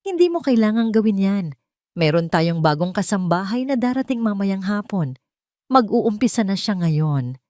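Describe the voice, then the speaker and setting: A woman's voice: mid-range voice, very animated, normal speed, darkish tone, dry recording, clean studio sound, lightly acted. Controlling male fiancé read by a female narrator, sweet-talking with a commanding weight, audio drama. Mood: gently commanding. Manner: tender yet commanding.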